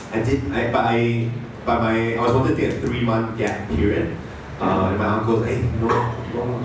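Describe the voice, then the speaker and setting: A Malaysian man in his late twenties, founder, giving a chatty, self-deprecating conference talk full of fillers and restarts. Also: lowish voice. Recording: echoey hall, mic far off, noisy.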